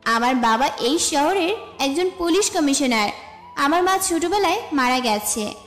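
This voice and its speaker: A young college woman voiced by an actress, friendly and a little bossy, talks in a romantic audio story. Her voice is very high and echoes over a music bed. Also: animated, unhurried.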